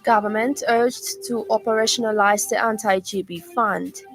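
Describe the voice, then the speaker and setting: A woman with a Zambian accent reads a scripted radio news bulletin in a formal, measured manner. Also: medium pitch, steady tone, over music.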